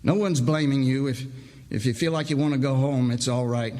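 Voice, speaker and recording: A man reading a story on stage, voicing a calm, plain-spoken young foreman at a country sawmill. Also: medium pitch, a little fast, close to an everyday voice. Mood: steady, reassuring. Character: calm, authoritative young rural mill foreman. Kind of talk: staged short story reading, character dialogue. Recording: reverberant hall, stage reading.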